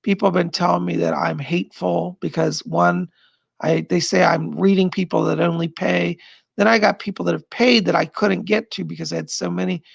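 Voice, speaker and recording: A man's voice: high voice, steady tone, brisk, gravelly, breathy edge, no room sound, muffled recording. A middle-aged psychic host with a strained, tired voice, chatting casually from home as he reads out viewer comments, rambling and laughing often. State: strained, losing his voice. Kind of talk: casual live-stream chat reading viewer comments.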